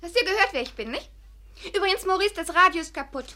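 An actress in a German radio play voicing a bratty, spoiled child film star of about twelve, pert and defiant. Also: female voice, very high voice, expressive, fast talker, sharp tone, exaggerated voice.

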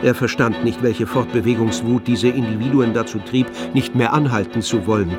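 A man narrates a literary radio drama from a script. His voice sits at an average male pitch. Music with violin plays clearly behind the narration. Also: steady tone, moderate pace.

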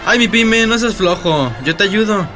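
A man's voice: high voice, moderate pace, music in the background, natural voice. A male actor playing a helpful, teasing boy with a Mexican accent in a radio soap.

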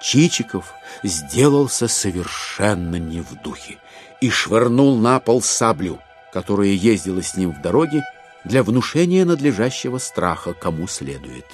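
Expressive low-voiced male story narrator. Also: normal speed, soft background music.

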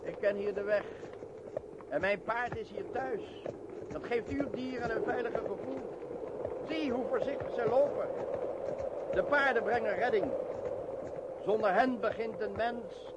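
An actor playing a devout, cautious mountain guide in a radio play, speaking in an earnest, formal way. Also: male voice, high-pitched, unhurried. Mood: confident, instructive. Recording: muffled noisy old radio recording.

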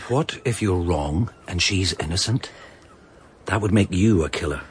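An earnest, urgent protector. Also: male voice, low voice, lively intonation, unhurried, a little hiss or hum. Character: earnest protective man, determined to help. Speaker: McWhirter, amateur investigator. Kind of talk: BBC radio drama acting.

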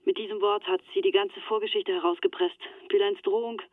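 A woman's voice: mid-range voice, steady tone, fairly quick, dry recording. Shaken young woman telling her story over a tinny phone line, dry, confiding, radio drama. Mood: grim, explanatory. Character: shaken Turkish-Swiss woman in hiding, recounting betrayal. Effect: telephone filter. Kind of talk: radio play acting.

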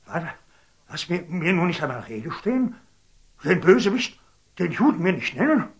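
Husky, swooping fanatical churchman. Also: high voice, big pitch swings, normal speed, full character voice. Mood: outraged. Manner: pompous, declamatory, emphatic. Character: zealous, scheming, fanatical old churchman, shifting from outrage to fawning. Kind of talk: theatrical German radio drama acting of classic stage verse.

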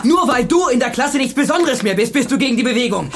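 A man's voice: very high voice, lively intonation, quick pace, fairly bright tone, soft background music, full character voice. A young man in a German radio play, acting an indoctrinated teenage schoolboy who is insistent and reproachful. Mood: reproachful, hurt.

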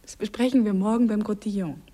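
An actress playing a worldly married woman with a secret lover, coaxing and lightly mocking in a breathy murmur, in a radio drama. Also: medium pitch, fairly even intonation, fast talker, dark tone, natural voice. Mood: fond, brisk. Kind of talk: German radio drama acting, intimate dialogue.

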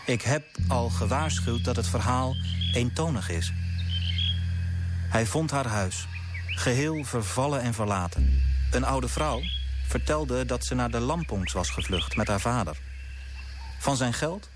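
A man acting an earnest young storyteller in a radio play. His medium-pitched voice is measured and serious, with clear music playing behind it. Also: expressive, lightly acted. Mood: sorrowful. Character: earnest young man telling a sorrowful colonial tale.

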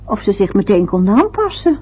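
A woman's voice: medium pitch, big pitch swings, moderate pace, breathy edge. An actress playing a fussy, anxious older mother in a radio play, chattering and muttering to herself. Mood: fretful. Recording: dull lo-fi old radio recording.

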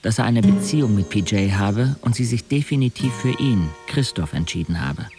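An actor narrating a radio drama in the first person as a wry young man, measured, detached and literary. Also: fairly low pitch, lively intonation. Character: wry young male narrator recounting his friends' lives. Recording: clear music under the voice. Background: music.

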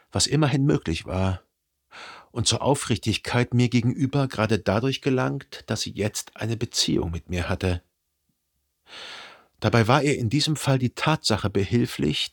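An actor playing a brooding, melancholy man who recalls a dead lover in reflective, measured radio drama narration. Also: medium pitch, gravelly. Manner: reflective, measured, analytical monologue.

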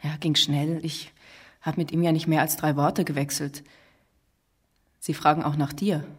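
An actress playing a frank, concerned university colleague in a naturalistic radio play, casual and direct, with a husky voice. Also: female voice, deep voice, little pitch movement, moderate pace. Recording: slightly distant from the mic.

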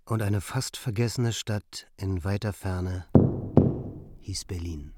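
A man's voice: low-pitched, slow, darkish tone. A man reading scripted literary narration as a second narrator in a radio play. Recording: music behind the voice. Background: music.

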